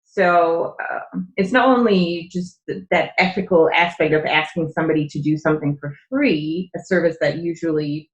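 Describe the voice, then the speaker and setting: A woman's voice: on the low side, moderate pace, roomy sound, highs cut off. A woman who grew up speaking Czech, a guest on a podcast, explaining things earnestly with many fillers. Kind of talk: conversational interview.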